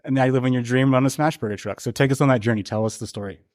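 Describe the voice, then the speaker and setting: A man's voice: medium pitch, rapid-fire, a little mellow. A man hosting a casual interview podcast, asking questions in an easygoing way. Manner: easygoing, laughs often. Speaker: podcast host.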